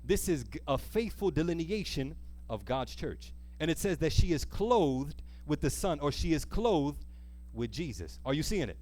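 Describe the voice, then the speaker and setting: A man delivers a sermon in a fairly high voice. He is emphatic and exhorting as he reads scripture. He often pauses on a question to draw his listeners in before pressing on. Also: very animated, a little mellow. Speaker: preacher. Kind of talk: sermon with scripture reading and call-and-response questions. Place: church service, live.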